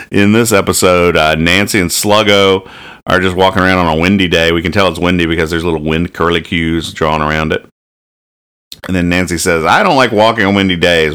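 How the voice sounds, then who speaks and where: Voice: low-pitched, fairly bright tone, smooth. A podcast host chatting casually and jokingly about comic strips, often restarting as thoughts form.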